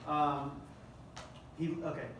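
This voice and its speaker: Echoey, higher-voiced man reading script directions. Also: little pitch movement, slow, over a noisy crowd. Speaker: director running a table read. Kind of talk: reading screenplay action lines and coaching actors. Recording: echoey room, distant mic, faint noise.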